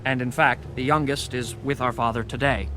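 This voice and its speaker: A male actor plays a humble man of ancient Canaan in an audio Bible drama. His voice is mid-range, earnest and deferential, with soft music behind it. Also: mid-range voice, fairly even intonation, normal speed, natural voice. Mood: pleading, anxious. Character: humble, pleading Hebrew brother before a lord.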